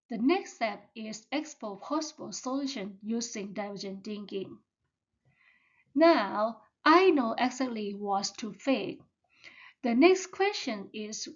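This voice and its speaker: A woman's voice: fairly high pitch, highs cut off. A woman whose first language is Thai, giving a careful step-by-step presentation as a student. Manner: slow, careful, step-by-step delivery.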